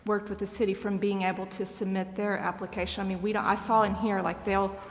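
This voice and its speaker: A woman's voice: medium pitch, little pitch movement, fairly quick. An American woman speaking candidly and questioningly as a council member in a public meeting discussion. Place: indoor council chamber. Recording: echoey meeting chamber with noticeable background noise.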